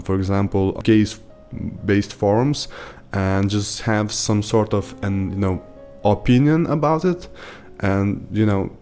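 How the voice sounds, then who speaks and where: A man's voice: lowish voice, dark tone. A man in his thirties with Lithuanian as his first language, giving rambling, rant-style advice while showing his screen from a small home room. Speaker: YouTube host, team lead. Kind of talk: casual screen-share tutorial.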